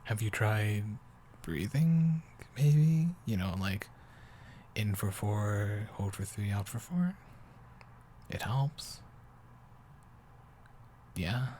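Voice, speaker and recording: A man playing an affectionate young boyfriend in an improvised bedtime roleplay, talking softly and haltingly, often sinking toward a whisper. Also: on the low side, lively intonation, slight background noise. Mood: gentle, concerned. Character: affectionate, doting young boyfriend, soft and rambling. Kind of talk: improvised intimate roleplay audio, sleep aid.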